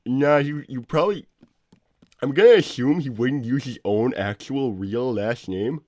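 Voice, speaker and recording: A man playing an awkward, exasperated cartoon animal inventor, co-hosting an in-character livestream in halting, repetitive chat. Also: medium pitch, darkish tone, no room sound, lightly acted. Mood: unsure. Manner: halting, stammering, repeats himself. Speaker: livestream co-host.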